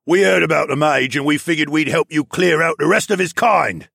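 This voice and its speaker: A man's voice: a little high, fairly quick, vocal fry, dry recording, studio quality, pitched above the performer's own voice, heavily acted. A man voicing an angry, sneering male polar bear demi-human who leads a mob in an audiobook fantasy story. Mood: angry, defiant. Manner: belligerent, shouting.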